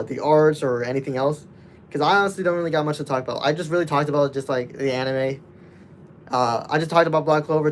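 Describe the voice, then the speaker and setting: A man's voice: on the high side, slight background noise. A nineteen-year-old man casually chatting with his livestream viewers, rambling loosely with many restarts.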